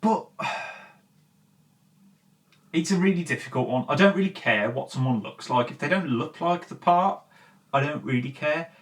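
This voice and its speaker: A man's voice: on the high side, animated, normal speed, long pauses. A man hosting a commentary show, talking in a casual, opinionated rant with emphatic, exasperated delivery and frequent swearing. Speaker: host of a film and game commentary show. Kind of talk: opinionated video essay rant, casual and conversational.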